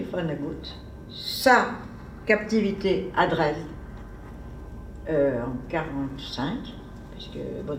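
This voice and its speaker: A woman's voice: low-pitched, slight background noise. A woman giving an informal academic seminar talk, digressive and conversational, as if thinking aloud. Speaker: seminar speaker. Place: seminar room. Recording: echoey room, mic far off.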